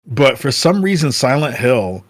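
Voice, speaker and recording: A man's voice: medium pitch, big pitch swings, dry recording. Laid-back man in his forties, dry sound. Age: 40s. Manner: laid-back, quick to laugh. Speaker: podcast host. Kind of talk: casual chat.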